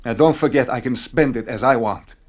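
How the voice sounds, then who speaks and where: An actor playing a bitter, world-weary, hard-drinking husband in a radio play, brooding and resigned. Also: male voice, mid-range voice, a little fast, natural voice. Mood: defensive anger.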